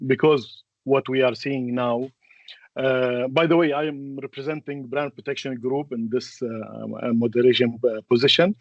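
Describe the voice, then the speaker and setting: A man, a native Arabic speaker, moderating a webinar and introducing speakers in a halting, hesitant way. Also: mid-range voice, darkish tone, no echo, dull audio.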